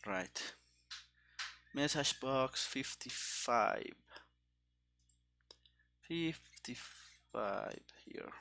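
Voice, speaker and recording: A man doing live coding commentary as a game developer, halting and muttering to himself in short fragments. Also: mid-range voice, creaky, bright, forceful tone, a little hiss or hum.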